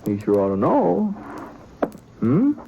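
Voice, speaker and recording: An actor playing a drifting frontier gunman who talks in a folksy, halting drawl, in a 1950s radio drama. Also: on the high side, wildly swooping pitch, creaky, dark tone, slight background noise, full character voice, rough recording. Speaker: lead actor and narrator.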